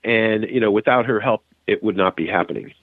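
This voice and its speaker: A man's voice: fairly low pitch, fairly quick, no room sound. Man on a tinny phone-like line. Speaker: podcast guest, video channel owner. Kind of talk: casual chat.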